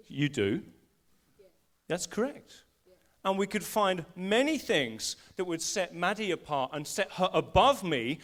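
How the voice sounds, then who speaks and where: A man preaching at a live church service, bringing people up from the congregation and building his points with rising emphasis. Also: a little high. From England. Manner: animated, rising emphasis when making points. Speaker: pastor. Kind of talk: live sermon with volunteers. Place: school hall church service.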